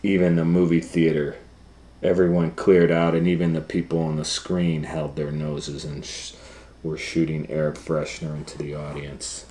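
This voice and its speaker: A man reading a children's picture book aloud as a storytime host, animated and breaking off for chatty asides to the listener. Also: rumbling low, normal speed, a little hiss or hum.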